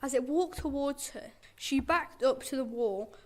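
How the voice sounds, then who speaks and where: A woman's voice: high voice, measured pace. A young girl narrating a scripted story for a radio play. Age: child or young performer.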